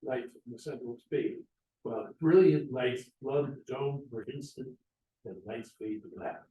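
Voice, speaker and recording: A man's voice: medium pitch, moderate pace, warm tone. A man reading scripted stage directions aloud at a table read of a play. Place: echoey indoor room, away from the mic. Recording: distant, echoey room.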